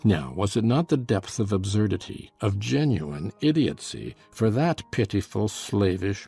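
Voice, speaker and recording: An actor playing a scornful, cruel, brooding husband in a dramatized gothic novel, speaking with deliberate, sardonic contempt. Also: male voice, fairly low pitch, dark tone, husky, exaggerated voice. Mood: cruel mockery. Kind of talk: dramatized audiobook acting.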